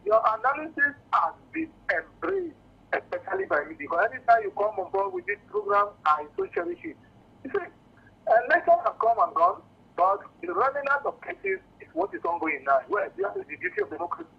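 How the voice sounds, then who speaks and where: A man's voice: very high voice, very animated, fairly quick, gravelly. A Nigerian man calling a radio phone-in, courteously and earnestly explaining his political views. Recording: dull lo-fi phone call to radio.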